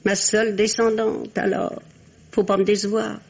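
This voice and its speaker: A woman playing a stern but fond aristocratic old grandmother in a radio drama, speaking in a formal, admonishing, imperious way with a husky voice. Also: mid-range voice, little pitch movement, normal speed, a little mellow. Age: older. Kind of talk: French radio drama acting. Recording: dull, muffled old radio recording.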